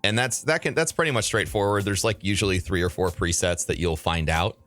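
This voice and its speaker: A man hosting an advice podcast, with a mid bassy voice, talking loosely with fillers and swearing. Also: a little fast, a bit bright, faint music behind. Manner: loose, profane, thinks aloud with fillers. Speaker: podcast host. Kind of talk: casual advice podcast chat.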